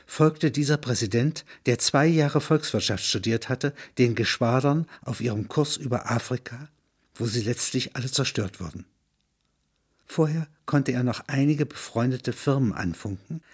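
An older man with a husky voice, speaking reflectively with frequent questioning tags. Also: medium pitch. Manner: discursive, punctuated with questioning tags. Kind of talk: reading prose and reflective conversation.

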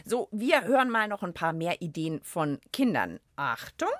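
A woman's voice: fairly low pitch, sing-song, normal speed. A woman, chatting casually and presenting hands-on as co-host of a children's podcast.

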